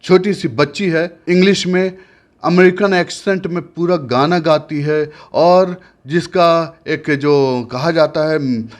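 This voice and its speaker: A man's voice: a little high, takes it slowly. Male news reporter, formal. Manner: formal, with frequent hesitations when speaking off script. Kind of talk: news narration then interview.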